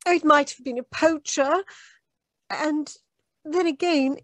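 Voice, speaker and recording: Woman acting a high-voiced, anxious young gentlewoman. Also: no room sound. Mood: troubled. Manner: hesitant, polite, measured. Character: polite, anxious young gentlewoman with troubled uncle. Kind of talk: old-time radio mystery acting.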